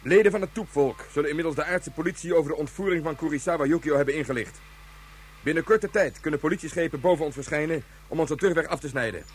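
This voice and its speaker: A man's voice: on the high side, fast, lightly acted. A man playing a military colonel in a radio drama, clipped, formal and procedural. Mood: brisk, formal. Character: brisk, obedient male military colonel.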